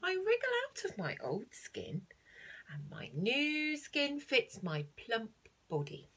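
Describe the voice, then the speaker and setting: Husky, very animated woman reading to children. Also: a little high, takes it slowly. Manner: expressive storytelling to young children; simple explanations with questions to the listener. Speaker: primary school teacher. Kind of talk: reading a children's nature book aloud with explanations.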